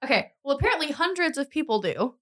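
A woman hosting a true crime podcast, telling the story conversationally with informal, animated asides. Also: high-pitched, fast talker, no echo, clean studio sound.

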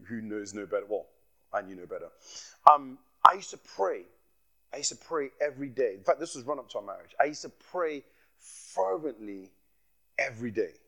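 A man's voice: medium pitch, a bit bright. A man from Nigeria preaching as a guest speaker, passionate, often repeating his phrases and laughing at himself. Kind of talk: sermon with personal testimony.

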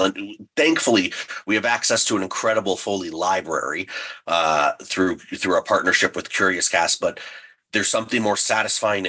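A man's voice: sits low, pushed and bright, slightly rough. A man speaking as an interview guest, chatting enthusiastically as a writer and creator about his work.

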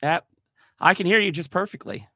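A man hosting a livestream chat, hoarse from a cold, rambling with lots of fillers and restarts. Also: high voice, sing-song, brisk, pushed and bright, no echo, studio quality. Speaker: podcast host. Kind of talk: casual livestream talk.

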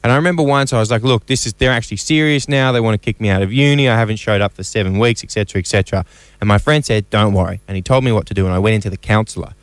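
A man's voice: fairly low pitch, racing, dry recording. Australian male radio co-host, dry close sound. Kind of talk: casual radio banter.

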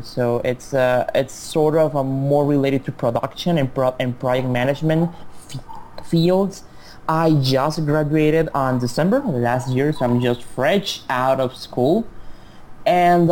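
A man's voice: mid-range voice, a little mellow, a little hiss or hum. A man in his twenties from Mexico, a native Spanish speaker with a Mexican accent, answering podcast interview questions politely and hesitantly, with frequent restarts. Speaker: game studio guest.

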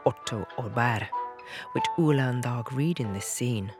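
Scripted documentary narrator over clear music. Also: mid-range voice, a little slow. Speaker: announcer and narrator.

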